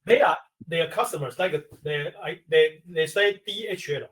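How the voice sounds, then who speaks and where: A man with a Taiwanese accent, an industry expert on a webinar, explaining step by step in halting English. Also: a little high, a bit bright, recorded from a distance. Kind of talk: webinar answer to a question.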